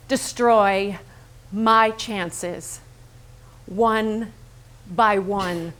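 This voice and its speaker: A woman playing a needling, self-lacerating painter in a theatrical staged reading, deliberate and pointed. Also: a little high, a bit bright, exaggerated voice. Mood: bitter, solemn.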